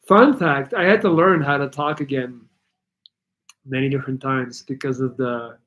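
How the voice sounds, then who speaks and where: A man's voice: medium pitch, moderate pace. A man whose first language is Dutch, speaking English casually and rambling a little as he hosts a live Q&A, with slightly altered articulation of some sounds. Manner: relaxed, rambling, reads chat aloud. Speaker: livestream host answering viewer questions.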